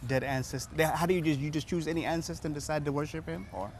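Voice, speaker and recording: A man's voice: fairly high pitch, steady tone, fast. A man hosting an on-location interview as a presenter, speaking outdoors. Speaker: interviewer and show host. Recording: clear outdoor street and traffic noise. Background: street ambience and vehicles.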